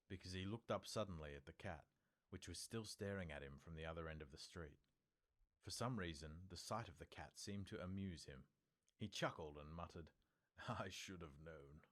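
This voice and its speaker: A man reading an audiobook as its narrator, in scripted storytelling style. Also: deep voice.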